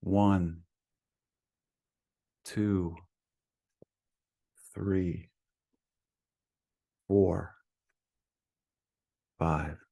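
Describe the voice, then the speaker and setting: A man with a fairly low voice guides a meditation at a very slow pace. His delivery is calm and soothing, leaving long, restful gaps between short phrases and counts. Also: vocal fry. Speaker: meditation teacher.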